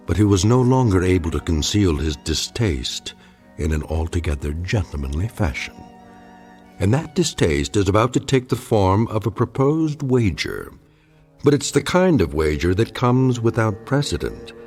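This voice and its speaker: A man narrating an eerie radio drama in a measured, sardonic and portentous style. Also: low-pitched, darkish tone. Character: solemn, sardonic male narrator of an eerie anthology. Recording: studio narration over music.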